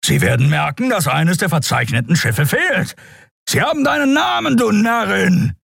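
Man playing huge clawed beast-man, violent temper, menacing growls rising to roars, husky, storybook villainy. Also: sits high, very animated, normal speed, a bit bright, dry recording, studio quality, voice pushed up, full character voice. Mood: furious roaring. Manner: growling, roaring, threatening. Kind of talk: dramatic audiobook character voice.

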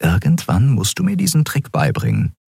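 A man voicing a kindly female airship captain in an audiobook, brisk and informal in manner. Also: medium pitch, huge pitch swings, creaky, darkish tone, husky, no room sound, studio quality, pitched above the performer's own voice, exaggerated voice. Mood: earnest.

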